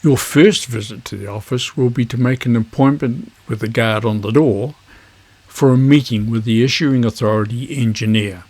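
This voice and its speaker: A man with a husky voice, presenting an instructional vlog in measured phrases. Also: medium pitch, a little mellow. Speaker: amateur radio video host.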